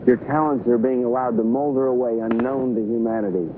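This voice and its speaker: A young actor playing a self-deluded, earnest secret agent in a 1960s film comedy, with deadpan delivery. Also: male voice, mid-range voice, a little fast, close to an everyday voice. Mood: earnest wooing. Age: young adult. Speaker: actor and narrator. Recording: muffled, noisy old film soundtrack.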